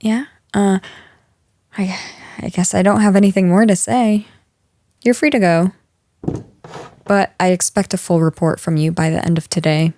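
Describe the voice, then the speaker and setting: A woman playing a stern, frustrated office boss in a scripted audio roleplay, speaking to an employee in a firm, measured, exasperated way. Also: mid-range voice, steady tone, dark tone, dry recording, close to an everyday voice. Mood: curt, sarcastic. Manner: firm, exasperated, measured managerial delivery with sighs.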